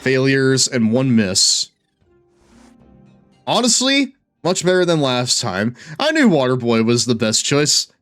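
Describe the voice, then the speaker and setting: A man's voice: medium pitch, big pitch swings, unhurried, few pauses, fairly bright tone, faint music behind. A man giving casual live commentary as he streams a game, with an occasional stammer.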